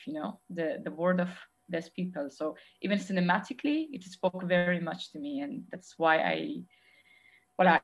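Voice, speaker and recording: Thoughtful Iranian woman filmmaker, low voice. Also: rough recording. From Iran. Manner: thoughtful, measured, frequent pauses and restarts. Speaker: documentary filmmaker guest. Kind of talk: reflective interview answers.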